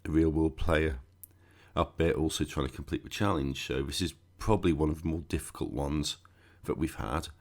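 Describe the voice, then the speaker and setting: A man hosting a gaming channel, croaky and stuffed up with a cold, chatting casually through a game walkthrough with sarcastic asides. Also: a bass voice, moderate pace.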